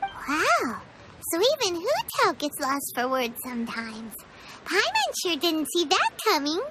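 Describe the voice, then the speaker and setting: Game fairy sidekick: tiny, squeaky, extremely high, wildly swooping delivery. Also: female voice, huge pitch swings, unhurried, background noise, heavily acted. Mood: surprised. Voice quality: squeaky, childlike. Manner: exclamatory, refers to self in third person. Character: small chirpy floating fairy companion who speaks in third person. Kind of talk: video game dialogue. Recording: music behind the voice. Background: playful game music.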